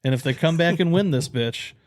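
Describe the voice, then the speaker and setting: A man's voice: a little high, brisk, a little mellow, no room sound, clean studio sound. A man co-hosting a podcast, venting in a profane, frustrated rant during casual sports chat. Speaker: podcast co-host.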